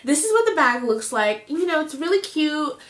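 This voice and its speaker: A woman's voice: high voice, big pitch swings, moderate pace, fairly bright tone. A young woman speaks close to the camera in a casual beauty vlog, her voice thick and nasal from congestion. She keeps it chatty and upbeat, sprinkling in fillers as she walks through each product. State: congested, nasal from a sinus infection. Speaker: beauty vlogger. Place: indoor home filming setup. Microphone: camera at close range, facing the camera.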